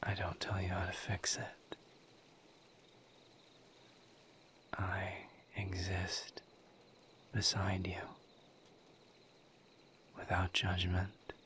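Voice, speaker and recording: A man voicing a gentle, patient misery demon in a breathy, intimate whisper, halting and solemn, for an audio roleplay. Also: low voice, a little hiss or hum, full character voice. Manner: slow, deliberate, halting with long pauses.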